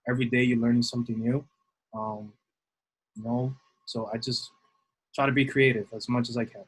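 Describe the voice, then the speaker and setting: A man's voice: mid-range voice, flat delivery, moderate pace, slightly rough, rough recording. A middle-aged man from New City, answering interview questions in a rambling way with many fillers. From New York City. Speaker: guest, assistant baseball coach.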